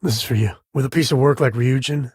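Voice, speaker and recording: Loyal space ranger, game hero, heavily husky rasp, mid-pitched, earnest, wry, conversational. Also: male voice, fast talker, darkish tone, dry recording, studio quality. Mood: wry, steady. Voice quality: husky, raspy. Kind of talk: video game character voice lines.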